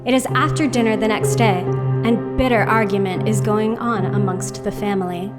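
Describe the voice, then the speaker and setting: A woman announcer giving measured, scripted narration for a radio drama. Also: medium pitch, fairly even intonation. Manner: clear, measured storytelling. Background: music.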